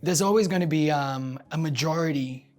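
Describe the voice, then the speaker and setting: Woman from russia, really low voice, thoughtful casual interview chat, music under it. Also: normal speed, darkish tone. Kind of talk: reflective casual talk.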